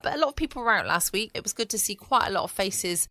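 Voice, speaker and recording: A woman with an English accent hosting a podcast, chatting casually in a relaxed, rambling bedtime style. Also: medium pitch, fast talker, no room sound. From United Kingdom. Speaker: podcast host. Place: at home, dry close recording.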